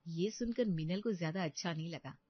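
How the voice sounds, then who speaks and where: A woman's voice: fairly low pitch, fairly quick, no room sound, lo-fi sound. A woman narrating a scripted story as a storyteller.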